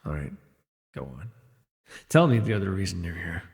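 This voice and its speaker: A jaded, imprisoned telepath villain , acted by a man, speaks in a low, gravelly voice that rings with long reverb. He is wry and sardonic, sighing wearily through an ASMR superhero audio drama. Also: expressive, normal speed, a little mellow. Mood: sardonic, probing. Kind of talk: ASMR audio roleplay acting.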